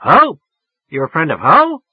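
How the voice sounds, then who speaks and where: A man's voice: on the high side, sing-song, normal speed, breathy edge, dry recording, lo-fi sound, voice pushed up, full character voice. A man voicing a brassy, slangy young woman in a story, with a raised, affected feminine voice. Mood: irritated, snapping. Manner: sharp, slangy, turning affectedly genteel. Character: brassy, irritable young working woman, formerly burlesque, turning coy.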